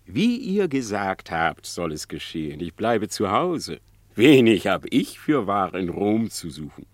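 An actor playing a cunning male fox, a smooth-talking trickster, in a classic verse radio play. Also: lowish voice, sing-song, a little slow. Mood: reassuring. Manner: wheedling, persuasive, theatrical verse delivery.

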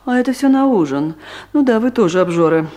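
Female boss in radio comedy, acted by a woman: formal, authoritative, secretly vulnerable, high voice, animated delivery. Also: brisk, close to an everyday voice. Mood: prim, composed. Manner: formal, commanding, prim. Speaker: actress in a radio play. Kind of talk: comedic audio drama dialogue.

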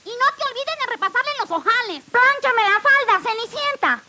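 Shrill, bossy young stepsister. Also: female voice, expressive, normal speed, music in the background. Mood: bossy, demanding. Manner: shouting orders. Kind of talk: 1950s storybook record acting. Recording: noisy old 78 rpm record.

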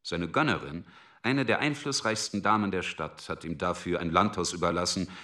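A man's voice: sits low, moderate pace, sharp tone. A man reading scripted narration for a radio drama.

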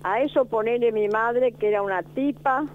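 A woman in her nineties, born in Italy, telling her memories in a slow, deliberate way as a guest. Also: fairly high pitch. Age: 90s. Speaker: school founder, guest. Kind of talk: reminiscing interview. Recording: tinny phone-like line, faint noise.

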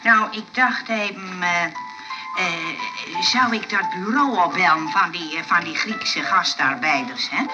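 An actress playing a kindly, encouraging nursing sister at a rest home in a radio play. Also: female voice, fairly low pitch, close to an everyday voice. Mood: chatty, busy. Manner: encouraging, chatty, hesitant with pauses. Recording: muffled radio play with loud music behind.

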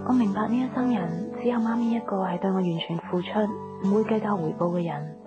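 An actress playing an earnest, emotional young woman in a radio drama, speaking softly with a Hong Kong Cantonese accent. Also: mid-range voice, fast talker, highs cut off, natural voice. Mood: wistful. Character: earnest young woman torn between love and her mother. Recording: music bed behind the voice.

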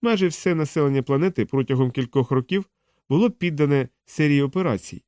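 A man voicing a thoughtful male physicist in an audiobook, explaining in a measured way. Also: on the high side, a little mellow, dry recording, clean studio sound, pitched above the performer's own voice, natural voice.

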